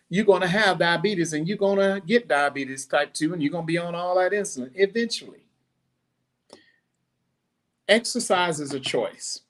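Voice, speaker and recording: A middle-aged man hosting a podcast, giving a blunt, preachy monologue with animated asides. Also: a little high. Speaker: podcast host. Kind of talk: casual monologue.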